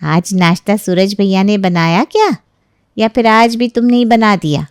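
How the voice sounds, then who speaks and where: A woman's voice: mid-range voice, normal speed, smooth, no echo, clean studio sound, natural voice. A woman playing a jealous young married neighbour, falsely sweet and sly, in a dramatized moral story. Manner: sly, insinuating, mock-hesitant.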